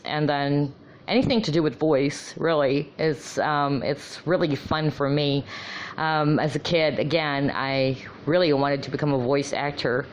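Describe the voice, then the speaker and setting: A woman whose first language is Tagalog, talking casually with lots of ums and restarts; her low voice often gets her taken for a man. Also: rough recording. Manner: chatty, many ums and restarts. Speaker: vlogger. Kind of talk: casual monologue.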